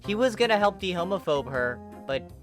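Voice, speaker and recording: A woman narrating a comic story aloud in an expressive reading style. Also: lowish voice, a little fast, over music. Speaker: fan fiction reader.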